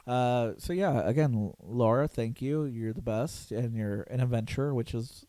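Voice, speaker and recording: Hoarse man hosting a casual podcast. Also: mid-range voice, moderate pace, dark tone, dry recording. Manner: rambling, loose and profane, with lots of fillers and laughs. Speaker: podcast host. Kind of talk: casual chat.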